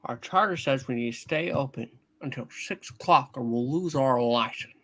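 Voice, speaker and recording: A man's voice: medium pitch, normal speed, fairly bright tone, lightly acted. A man playing an earnest, flustered young loan-company boss in a hammed-up radio play reading, stammering and urgent. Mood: worried, firm.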